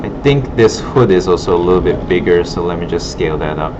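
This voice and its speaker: A man's voice: deep voice, lively intonation, fairly quick. A man teaching informally as an instructor, thinking aloud in a hesitant way, recorded in a classroom. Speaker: digital art instructor. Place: indoor classroom. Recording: muffled, noisy classroom recording with air-conditioning hum.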